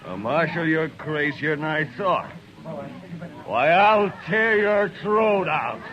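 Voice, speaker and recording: An American actor playing a big, mean, taunting outlaw in a 1950s radio western, with a sneering, threatening manner. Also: male voice, on the high side, expressive, takes it slowly, highs cut off. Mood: taunting, menacing. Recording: noisy old radio recording.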